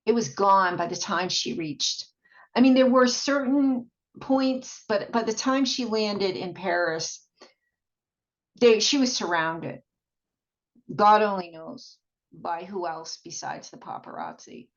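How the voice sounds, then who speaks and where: A woman video blogger giving a rambling, casual monologue in a halting, repetitive way, often trailing off. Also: medium pitch. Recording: dull, muffled lo-fi sound.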